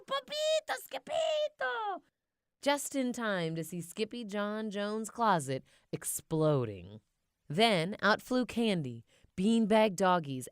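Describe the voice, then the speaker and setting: Female children's audiobook narrator, expressive and very varied in pitch, recorded dry and close. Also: clear, resonant tone, no room sound.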